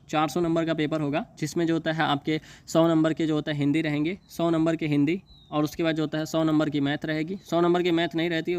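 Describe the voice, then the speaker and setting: A man giving an informal explainer, reading and paraphrasing in a halting, repetitive way. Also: fairly high pitch. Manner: halting, repetitive, stumbles over English terms he reads. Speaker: exam news video host.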